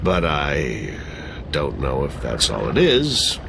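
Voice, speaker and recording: A man's voice: rumbling low, huge pitch swings, slow pace, vocal fry, background noise, heavily acted. An actor playing a sardonic middle-aged exile who laughs at his own jokes and loves whiskey.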